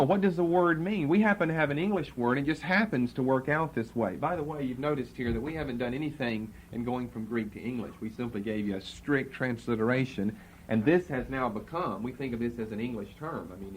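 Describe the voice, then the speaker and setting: A man giving a Bible lecture to a class, spelling out words letter by letter and repeating key terms slowly. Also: mid-range voice, slight background noise, low-quality recording. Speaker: Bible teacher. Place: classroom with students writing.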